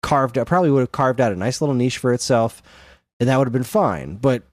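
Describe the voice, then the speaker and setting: A man's voice: mid-range voice, expressive, fast talker, darkish tone, no echo. A man co-hosting a podcast, chatting casually about games in an enthusiastic, rambling, informal way. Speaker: podcast co-host.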